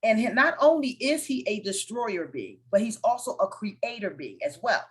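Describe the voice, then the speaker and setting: A woman's voice: a little high, big pitch swings, normal speed. A woman hosting a casual spiritual conversation, explaining ideas with confident, teacherly authority. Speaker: host of a spiritual discussion.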